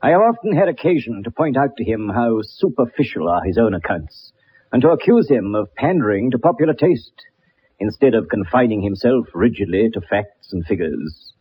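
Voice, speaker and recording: An actor playing a haughty, precise gentleman detective with a British accent in a radio drama, speaking in formal, pompous phrases. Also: fairly low pitch, breathy edge, exaggerated voice. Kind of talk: radio drama acting with first-person narration. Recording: dull lo-fi old radio recording.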